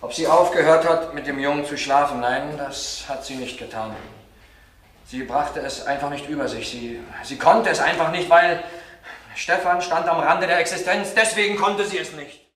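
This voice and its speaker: An actor playing a defensive, emotional former drug counsellor in a crime radio drama, speaking fervently and haltingly. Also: male voice, fairly high pitch, fairly bright tone, close to an everyday voice. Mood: agitated, indignant. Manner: halting, fervent, pleading for understanding. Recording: echoey room, noisy old recording.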